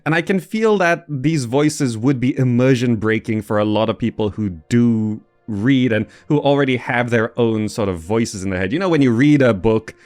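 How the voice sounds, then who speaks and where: Halting, casual male gaming host. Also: mid-range voice, a little mellow. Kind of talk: casual, off-the-cuff game commentary.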